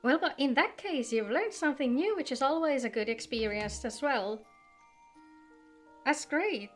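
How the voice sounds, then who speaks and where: A woman talks casually to the viewers of her game livestream. She is chatty and informal, with game music playing softly behind her. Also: high voice, expressive, a little slow. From Finland. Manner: chatty, greets viewers by name.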